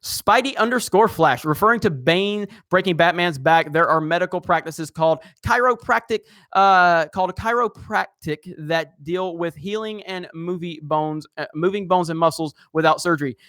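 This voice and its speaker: A man's voice: sits high, normal speed, hardly any pauses, fairly bright tone. A man hosting a live stream, reading comments in a rambling, casual way with lots of fillers and chuckles.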